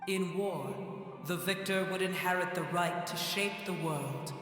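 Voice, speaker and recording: An actor playing an ancient, proud and bitter dragon in a video game, booming, grandiose and scornful. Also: male voice, a bit bright. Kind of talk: video game character acting. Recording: cavernous echo with long reverb. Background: game music.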